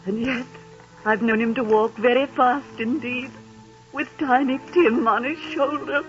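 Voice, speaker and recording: A woman's voice: on the high side, steady tone, measured pace, faint music behind, close to an everyday voice. A woman playing a tender, grieving mother, tearful, in a muffled 1930s radio drama. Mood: sad tenderness. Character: grieving, tender working-class mother and wife.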